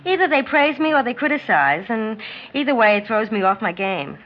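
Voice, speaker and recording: A woman's voice: on the high side, sing-song, brisk. An actress playing a cocky but naive young American woman athlete in a 1960s radio drama, speaking in a blunt, informal way. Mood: brusque, challenging. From United States. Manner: blunt, informal, matter-of-fact. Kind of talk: 1960s radio acting, first-person narration and dialogue. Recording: muffled 1960s radio recording with music.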